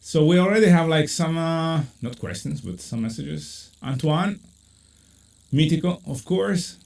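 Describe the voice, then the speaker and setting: Italian-accented male host, live-stream chat, animated and chatty, filler-heavy. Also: measured pace, vocal fry. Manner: animated, many fillers, pitch jumps when excited. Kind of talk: casual video-call chat. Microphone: video call.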